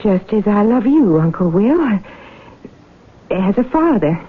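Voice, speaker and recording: A woman's voice: medium pitch, sing-song, slow, breathy edge, full character voice. An actress playing a weary, vulnerable young farm woman in a radio drama, wistful and plaintive. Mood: polite, uneasy. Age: young adult. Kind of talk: radio drama acting, confessional narration. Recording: tinny vintage radio, music behind.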